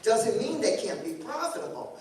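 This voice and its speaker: A woman's voice: a little high, expressive, moderate pace, a little mellow. A woman congregant asking a long, roundabout question and circling her point with examples. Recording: echoey room, mic far off, faint noise.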